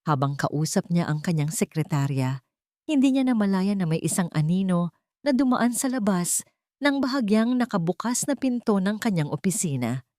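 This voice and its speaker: A woman with a Filipino accent narrating a romance story in a measured, dramatic storytelling manner. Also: medium pitch, sing-song, mellow, no echo, studio quality.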